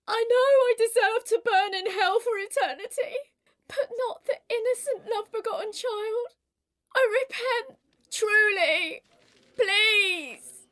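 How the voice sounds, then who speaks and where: A woman's voice: extremely high pitch, a bit bright, exaggerated voice. A young woman playing a defiant, streetwise pregnant woman of eighteenth-century London in an audio drama, speaking and narrating frankly. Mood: pleading, tearful. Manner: frank, plain-spoken. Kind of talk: audio drama dialogue and first-person narration.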